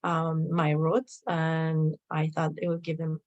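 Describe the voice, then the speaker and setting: A woman from Argentina with an Argentinian Spanish accent, a native Spanish speaker, answering a practice interview question hesitantly with many pauses. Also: low-pitched, completely flat delivery, darkish tone, muffled recording. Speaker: workshop participant.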